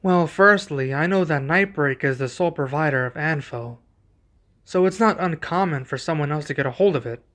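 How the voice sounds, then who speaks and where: A man playing a young king and protective husband in an ASMR roleplay, speaking in a formal, measured, deliberate way. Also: on the high side, fairly bright tone, lightly acted. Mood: pensive, measured.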